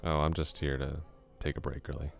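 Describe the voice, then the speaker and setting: A man playing a laid-back flirty classmate, very deep. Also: little pitch movement, a little fast, creaky, soft background music. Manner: casual, easygoing, chuckles often. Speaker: ASMR roleplay voice actor. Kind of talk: ASMR roleplay, soft close talk.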